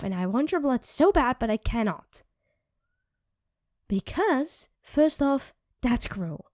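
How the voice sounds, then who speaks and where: A woman voicing an irritable young female vampire who craves blood, speaking in a sharp, sarcastic way and often dropping to a whisper. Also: a little high, no room sound, studio quality. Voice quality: drops into soft whispers. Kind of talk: ASMR roleplay.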